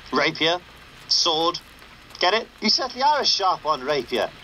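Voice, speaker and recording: A pirate radio host in a wizard game, quick-witted and earnest. His voice is high and bright, with faint noise on the broadcast. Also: exaggerated voice, rough recording. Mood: joking. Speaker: radio presenter.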